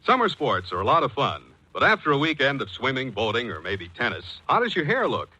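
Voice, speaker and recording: A male American announcer in classic 1940s radio style. His voice is bright and crisp-edged, with animated swings of pitch and a polished, emphatic sales delivery. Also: a little fast, poor audio.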